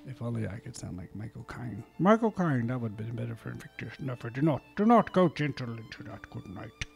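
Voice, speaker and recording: Mellow-voiced man reading poems theatrically. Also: medium pitch, wildly swooping pitch, a little fast, vocal fry. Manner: theatrical, swells loud on key lines, then drops to quiet murmured asides. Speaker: community radio host. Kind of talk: dramatic poetry reading with chatty asides. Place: small community radio studio. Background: soft music underneath.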